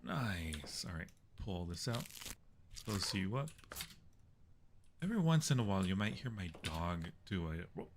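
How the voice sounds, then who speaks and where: A man's voice: sits low, big pitch swings. A man hosting a horror game livestream, chatting casually and muttering his way through tasks. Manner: unhurried, muttering to himself and reading out tasks. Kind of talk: casual gaming commentary. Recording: clear background noise.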